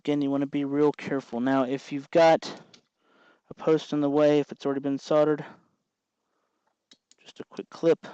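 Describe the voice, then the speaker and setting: A man hosting an informal how-to tutorial, explaining step by step in an unhurried way as he works with his hands. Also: a little high, level tone, dark tone, clean tone, no room sound, dull audio. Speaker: host of a DIY electronics tutorial.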